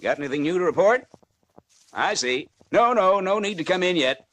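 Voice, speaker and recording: An actor playing a small-town newspaper editor in a radio serial, reassuring yet evasive and a little condescending. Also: male voice, high-pitched, animated, normal speed, fairly bright tone. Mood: brisk, hearty. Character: smooth-talking, dismissive male small-town newspaper editor. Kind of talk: 1940s radio serial acting.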